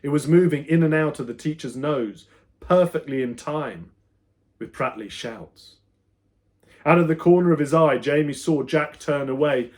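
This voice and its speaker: A man reading his own children's book aloud as an expressive storyteller, with dramatic emphasis that moves between loud and hushed. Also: on the high side, normal speed.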